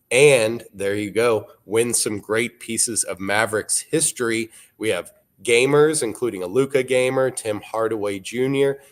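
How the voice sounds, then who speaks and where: A man, hosting a live auction broadcast in a relaxed, wry, informative way. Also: on the low side. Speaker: auction show host.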